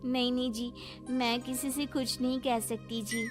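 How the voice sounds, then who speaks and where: A woman's voice: high voice, expressive, a little slow, pitched above the performer's own voice. A woman voicing a polite, overworked young daughter-in-law in a cartoon moral story. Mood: meek, obliging. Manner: deferential, ends phrases with polite "ji". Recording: clear music bed behind voice.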